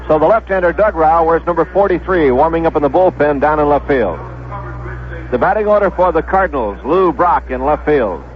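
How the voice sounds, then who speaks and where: A man with an American accent and a slightly high voice announces baseball in a measured 1970s radio style. He repeats names so they are clear. The broadcast sounds dull and lo-fi. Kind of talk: 1970s radio sports commentary, pregame lineups. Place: stadium broadcast booth.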